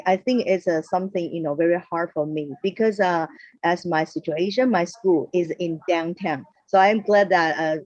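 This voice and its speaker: A woman's voice: fairly low pitch, a little mellow, no echo. A woman from Taiwan, a primary school teacher, speaking hesitantly with many fillers in an online meeting. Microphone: video call. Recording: muffled dry video call audio.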